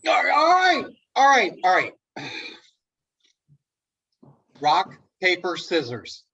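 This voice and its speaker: A man's voice: high voice, wildly swooping pitch, extremely slow, pushed and bright, husky, highs cut off, exaggerated voice. A man playing an impatient peacemaking friend in a comedic stage play reading, giving short, emphatic replies. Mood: mediating. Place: indoors, remote video call.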